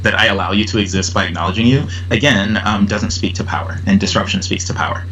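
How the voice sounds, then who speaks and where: A man in his forties with a lowish voice talks through his ideas slowly and thoughtfully, pausing on ums and likes. A faint hum sits behind him. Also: bright, forceful tone, poor audio. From Liberia. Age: 40s. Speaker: guest educator. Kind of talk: casual interview chat.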